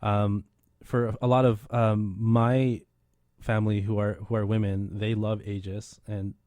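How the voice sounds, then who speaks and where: Male radio host, eager and rambling, mellow dark tone, dry studio sound. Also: lowish voice, level tone, unhurried, no room sound. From Philippine heritage. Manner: enthusiastic, rambling with many restarts. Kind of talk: casual radio interview.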